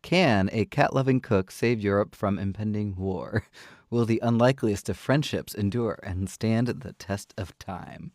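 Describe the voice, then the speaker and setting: A man's voice: on the low side, unhurried, a little mellow, no room sound, studio quality. A man hosting a book reading show, introducing books and reading blurbs with some theatrical flourish.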